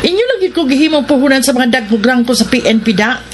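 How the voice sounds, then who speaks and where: A woman's voice: high-pitched, expressive, fast. A woman acting a sly, self-assured schemer in a radio drama. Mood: smug. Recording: radio drama with noisy ambience. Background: noisy ambience.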